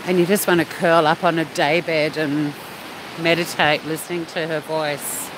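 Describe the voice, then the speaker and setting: A woman's voice: low voice, a little slow. A woman with an Australian accent chatting casually and cheekily as a live stream host, replying to viewers outdoors at the beach. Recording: loud steady wind or surf noise.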